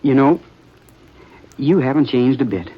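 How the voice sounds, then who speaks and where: A man's voice: mid-range voice, expressive, measured pace, slight background noise, close to an everyday voice. A man acting an earnest, lovestruck young man of the Old West in a radio drama, American, with hesitant, stammering speech. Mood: eager, amazed. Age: young adult. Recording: muffled old radio broadcast.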